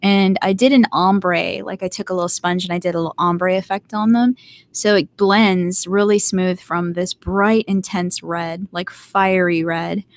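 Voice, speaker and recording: A woman's voice: on the low side, fairly even intonation, unhurried. A woman with a light North Carolina Southern accent chatting casually on a live stream, rambling and laughing often. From Southern United States. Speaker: streamer hosting a live hangout.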